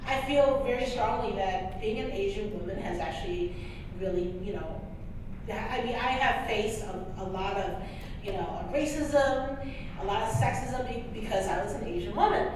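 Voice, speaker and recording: Woman in her forties presenting in an echoey room. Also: medium pitch. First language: Hmong. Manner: earnest, halting with restarts. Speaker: staff trainer on anti-racism. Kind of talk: presentation to an audience. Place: large meeting room. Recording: echoey room from far off, noisy.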